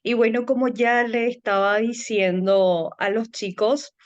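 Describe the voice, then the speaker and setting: A woman hosting a talk show in a relaxed, chatty way. Her voice sits a bit high and she talks slowly, laughing often. The recording sounds dull and lo-fi. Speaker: show host.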